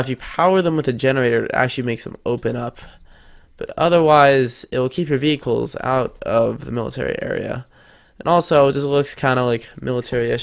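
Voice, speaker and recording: A man's voice: mid-range voice, vocal fry. A teenage boy hosting a casual game tutorial, chatty and thinking aloud as he builds, his nose a little stuffed up. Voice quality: slightly congested. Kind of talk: casual screen-recorded tutorial.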